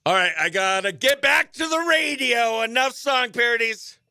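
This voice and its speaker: Man, radio host, very bright forceful voice, crisp and clean, chatty, upbeat, dry studio sound. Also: extremely high pitch, normal speed, no echo. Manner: upbeat, chatty, mutters to self while working. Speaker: morning radio show host. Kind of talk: behind-the-scenes radio chat.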